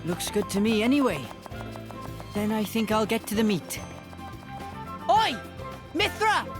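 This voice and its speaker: Bossy young game sidekick, highish voice, blunt and plain spoken, music going behind. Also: female voice, very animated. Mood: friendly, busy. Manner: blunt, brisk. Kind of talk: video game cutscene voice acting.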